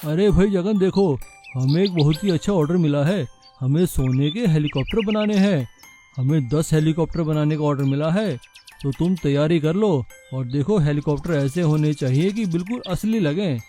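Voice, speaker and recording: A man voicing a brisk goldsmith shop owner giving instructions in an animated moral story. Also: on the high side, faint music behind, natural voice. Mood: businesslike.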